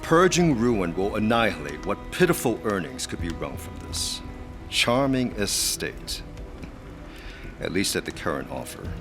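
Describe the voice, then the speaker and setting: Calculating rich man, very low voice, suave, smug, sardonic, theatrical intonation, audio drama. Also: very animated, unhurried, over music. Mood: coolly menacing. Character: smug, calculating wealthy male estate buyer.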